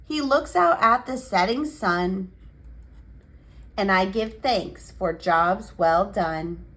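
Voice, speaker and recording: A woman reading a picture book aloud for young children in an expressive, cheerful and measured storytelling manner. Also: medium pitch. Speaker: children's picture book reader and channel host.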